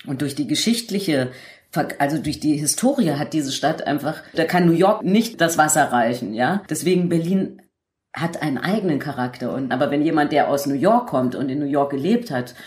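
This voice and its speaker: A woman's voice: deep voice, lively intonation, moderate pace, a little mellow, echoey room. A woman hosting a conversational podcast interview, putting her views forward while searching for words and restarting. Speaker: host, interviewer.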